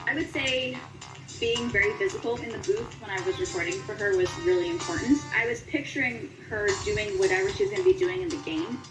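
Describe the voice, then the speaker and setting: A woman's voice: on the high side, lively intonation, fairly quick. A woman who works as a game voice actor, talking casually and animatedly as an interview guest. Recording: roomy echo, distant mic, music behind. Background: music.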